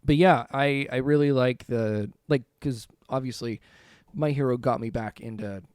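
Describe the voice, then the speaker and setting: A man's voice: medium pitch, normal speed, darkish tone, clear, resonant tone, no echo, clean studio sound. A man hosting a podcast, talking in a casual, informal chat style. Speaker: podcast host.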